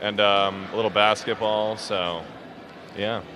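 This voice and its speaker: A middle-aged man from Chicago, a comedian guest joking in a commentary booth, quick with deadpan asides and self-mockery. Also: lowish voice, noisy background.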